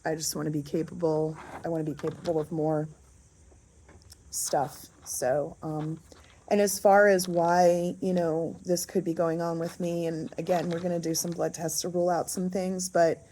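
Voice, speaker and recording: A woman talking casually in a diary-style vlog with a raspy, hoarse voice. She is unhurried and thoughtful, and often pauses to find her words. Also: lowish voice, level tone, dark tone, a little hiss or hum. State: raspy, hoarse voice after illness. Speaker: vlogger.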